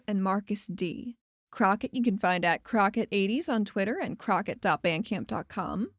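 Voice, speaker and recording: Higher-pitched woman reading podcast credits. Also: no echo, studio quality. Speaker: show host reading credits. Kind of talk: scripted podcast outro with thanks.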